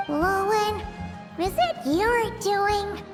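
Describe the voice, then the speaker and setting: Squeaky tiny fairy sidekick. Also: female voice, very slow, long reverb tail, over loud music, exaggerated voice. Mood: suspicious, curious. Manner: cutesy, questioning, exclaiming. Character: tiny flying fairy guide, squeaky, childlike, nosy, suspicious. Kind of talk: video game voice acting.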